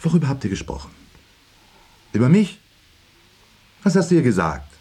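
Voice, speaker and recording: An actor in his forties playing a bitter, suspicious factory worker husband in a radio play, with a husky voice and a needling manner. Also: mid-range voice, huge pitch swings, moderate pace, dark tone, full character voice. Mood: needling, accusing. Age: 40s. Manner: needling, brooding, rhetorical questions. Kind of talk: German radio play acting.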